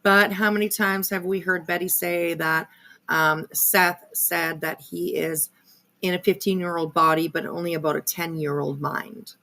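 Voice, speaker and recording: A woman with a fairly low, heavily creaky voice hosts a casual livestream reaction. She rambles through her commentary in a sardonic, confrontational way. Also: fairly even intonation, measured pace, sharp tone. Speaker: livestream host reacting to another creator's video.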